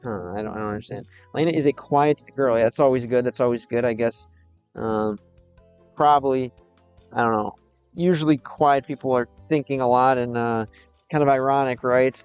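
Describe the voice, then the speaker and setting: American man streaming in halting Russian. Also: mid-range voice, smooth, dull audio, soft background music. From United States. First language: English. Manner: halting, mixes Russian and English, reads chat aloud. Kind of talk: casual live stream chat with viewers.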